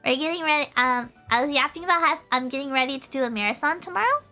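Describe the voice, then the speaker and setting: A woman with a very high, bright voice chats casually to her livestream audience. She is bubbly and giggly, tending to repeat little phrases as she goes. Soft music plays faintly behind her. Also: lively intonation, fast talker. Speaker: VTuber livestreamer. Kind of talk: casual chatty livestream, talking to chat.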